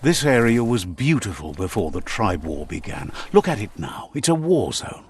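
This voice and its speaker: A man doing scripted narration for a video game in a measured storytelling manner. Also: fairly low pitch, sing-song, slight background noise. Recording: game audio over music. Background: faint game music.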